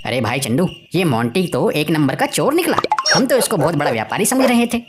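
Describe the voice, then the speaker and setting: A woman voicing a naive, eager village man in an animated story, exclamatory and deferential to a friend. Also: low voice, fairly quick, heavy background noise. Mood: amazed. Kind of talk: animated Hindi moral story dialogue. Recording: music behind the voice.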